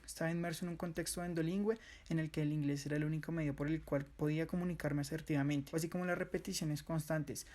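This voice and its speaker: A man with a fairly high voice reads a first-person narration quickly. He sounds earnest and takes small pauses between phrases. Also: a little mellow. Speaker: student presenter.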